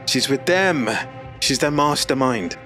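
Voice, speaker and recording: A man's voice: mid-range voice, lively intonation, measured pace, bright, forceful tone, faint music behind, voice pushed up. A man voicing a frantic young man who urges and pleads for help in an audio drama. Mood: urgent. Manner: urgent, pleading.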